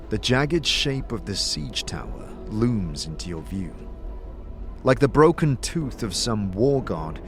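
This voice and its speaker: A man giving dramatic, scripted storybook-style narration of a game tale in a theatrical, descriptive manner. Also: fairly low pitch. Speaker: narrator and announcer. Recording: clear music behind.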